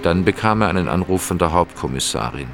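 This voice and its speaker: A man's voice: deep voice, lively intonation, fast, gravelly, fairly bright tone, exaggerated voice. A man narrating a crime radio drama in a sober, scripted storytelling style. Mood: sober, suspenseful. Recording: music behind the voice. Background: background music.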